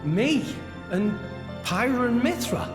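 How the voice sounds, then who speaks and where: Earnest boy hero, high-pitched. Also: very animated, slow pace, over music, exaggerated voice. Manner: incredulous, earnest, emotional. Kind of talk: dramatic video game cutscene acting.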